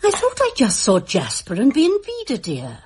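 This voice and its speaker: A woman's voice: a little high, wildly swooping pitch, normal speed, slight background noise. An actress playing a fussy, doubting older grandmother, fretful and questioning, in a radio drama.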